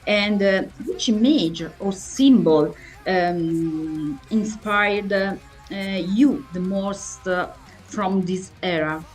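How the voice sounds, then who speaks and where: Slow, halting woman interviewer. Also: medium pitch, faint music behind, rough recording. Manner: hesitant, stumbling delivery with searching pauses. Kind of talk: prepared interview questions.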